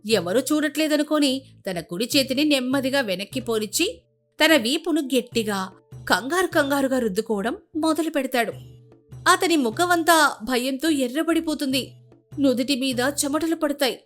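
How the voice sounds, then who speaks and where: Female story narrator, high voice, scripted storytelling, light music behind. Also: lively intonation, normal speed.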